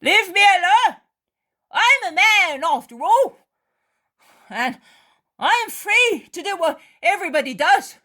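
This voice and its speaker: A woman reading aloud who voices a rude, defiant young apostle, shouting in a high, strained voice. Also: animated, takes it slowly, pauses often, exaggerated voice.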